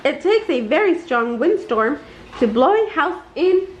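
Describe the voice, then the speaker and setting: Storybook goose professor, played by a woman: female, self-important, indignant, high-pitched and measured, in a roomy home recording. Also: very animated. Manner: pompous, emphatic lecturing. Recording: roomy, slightly dull, noisy home recording.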